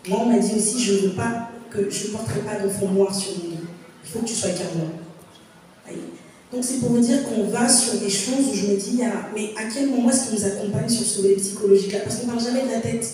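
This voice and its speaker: A woman's voice: medium pitch, fast talker, warm tone. A woman in her thirties, from Congo, speaking at a public panel in an earnest, reflective way with many fillers and restarts. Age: 30s. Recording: echoey hall, voice from far off.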